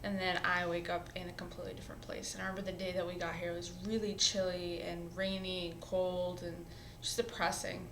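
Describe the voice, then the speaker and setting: A woman in her twenties, a college student, telling her own story in a relaxed, reflective interview, often pausing with "um" and "you know". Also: fairly low pitch, noisy background. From born in Syria, raised in the US. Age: 20s. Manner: conversational, reflective, frequent "um" and "you know" fillers. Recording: roomy echo.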